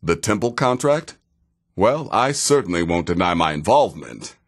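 Nazir, very deep, sardonic assassin. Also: male voice. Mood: sardonic, amused. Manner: deadpan, sardonic, deliberate. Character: Nazir, cynical seasoned male assassin with dry wit. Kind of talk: video game character dialogue.

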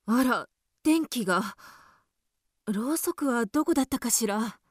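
A woman's voice: on the high side, lively intonation, a little slow, no echo, studio quality. An actress playing an anxious, prim wife and mother with a scolding, proper way of speaking in a radio drama. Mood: worried, reproachful. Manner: scolding, proper feminine diction.

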